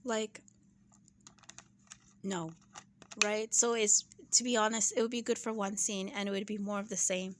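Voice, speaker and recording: A woman giving her casual opinions in a vlog, chatty and full of "right?" asides, clearing her throat and losing her voice. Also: mid-range voice, fairly even intonation, unhurried, long pauses, noisy background. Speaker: YouTube commentator.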